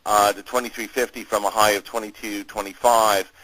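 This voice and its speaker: Low-pitched man giving market commentary. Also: flat delivery, fairly quick, pushed and bright, dry recording, rough recording. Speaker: market analyst hosting a conference call.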